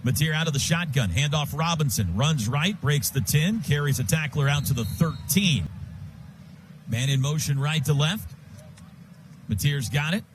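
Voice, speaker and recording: A man's voice: a little high, expressive, normal speed. An American man calling live football on the radio as play-by-play announcer, in clipped, urgent phrases. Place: stadium radio booth. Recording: sports radio broadcast with stadium noise. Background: clear stadium noise.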